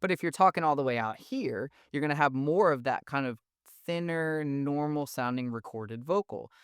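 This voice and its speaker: A man with a smooth, clean voice and a thin, mid-range tone explains things in a laid-back, slangy way. He sounds enthusiastic and conversational. The recording is dry and close, with no room sound. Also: on the high side, moderate pace, no echo. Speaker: audio engineering instructor. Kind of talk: casual explanatory lesson.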